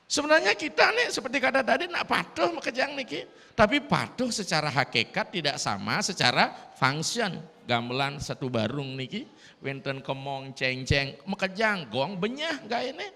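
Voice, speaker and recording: High, bright Sulawesi-accented priest preaching. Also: male voice, moderate pace, gravelly. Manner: lively preaching mixed with English phrases. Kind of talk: dharma talk sermon. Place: large hall at a temple gathering. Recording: long reverb of a hall.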